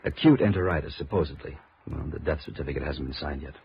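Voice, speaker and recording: A man's voice: extremely low pitch, quick pace, creaky, husky, full character voice. A man playing an earnest, hard-working middle-aged American claims adjuster in a 1950s radio drama. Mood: concerned briefing. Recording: dull, noisy old radio recording.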